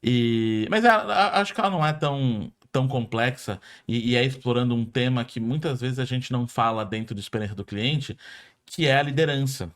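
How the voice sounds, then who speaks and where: A man with a Brazilian Portuguese accent, hosting a podcast conversation. He talks in a lively, informal way and often restarts his sentences partway through. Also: medium pitch, brisk. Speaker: podcast host. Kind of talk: casual podcast interview.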